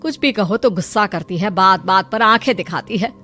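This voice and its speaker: Woman doing a sharp-tongued old mom who keeps nagging, cartoon, music behind. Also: mid-range voice, animated, moderate pace. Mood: scolding. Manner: taunting, scolding. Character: nagging older mother, sharp-tongued and worried. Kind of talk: cartoon voice acting.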